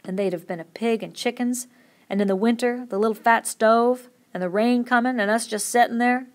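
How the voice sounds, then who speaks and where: A woman voicing an old crippled ranch hand who pleads in a rural drawl, his voice climbing high. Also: animated, moderate pace. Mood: sorrowful.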